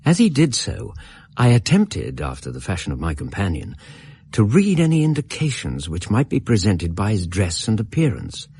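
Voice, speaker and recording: Low husky English gentleman narrator. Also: huge pitch swings, dry recording, heavily acted. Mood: wry, appraising. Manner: measured, formal, literary. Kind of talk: dramatised first-person narration.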